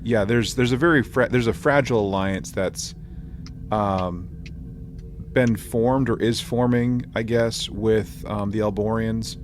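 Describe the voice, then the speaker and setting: Slow, low-voiced man on a noisy podcast. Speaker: podcast co-host. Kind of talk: casual discussion recapping an audio drama.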